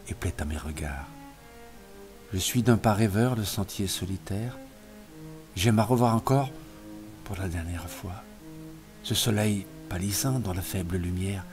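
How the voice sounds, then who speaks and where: A man reciting poetry in a solemn, expressive literary style, in measured phrases that sink softer as lines end. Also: low voice. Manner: measured phrases falling softer at line ends. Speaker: poetry reader. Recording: music playing behind the voice. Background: music.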